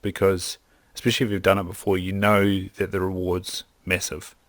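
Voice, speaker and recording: A young man talks eagerly as a podcast guest, piling up restarts and fillers as he goes. His voice sits low. The sound is close and dry, with no room around it. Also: completely flat delivery, fairly quick, no echo. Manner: enthusiastic, rambling with lots of "like" and restarts. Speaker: podcast guest, hunter and optometrist. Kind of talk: casual interview chat.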